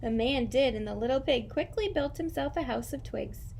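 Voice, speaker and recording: A woman teacher reading aloud and talking directly to young children in simple, expressive language. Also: sits high, normal speed, creaky, slight background noise. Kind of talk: children's read-aloud and lesson.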